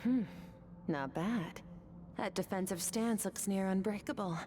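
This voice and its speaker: A woman playing a stern, coolly composed swordswoman mentor in a video game, measured, aloof and instructive. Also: mid-range voice, dark tone, slight background noise, lightly acted. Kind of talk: scripted video game voice acting.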